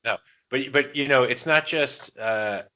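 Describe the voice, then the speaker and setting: A man's voice: medium pitch, unhurried, bright, forceful tone, dull audio. A man co-hosting a podcast in casual chat, bantering in a jokey, self-deprecating way. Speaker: podcast co-host.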